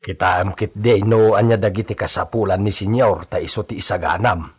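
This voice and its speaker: A man's voice: fairly low pitch, steady tone, brisk, husky. A man with an Ilocano accent playing a sober household servant in a radio drama. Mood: concerned.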